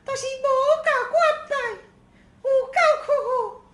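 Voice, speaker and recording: A little childlike spirit from a Taiwanese folk tale, pitched extremely high. It whines and protests in a plaintive, indignant way with a Taiwanese accent. The sound is roomy and echoing. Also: steady tone, unhurried. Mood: tearful complaining. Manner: whining, exclaiming, plaintive. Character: small slighted childlike spirit, whiny and indignant.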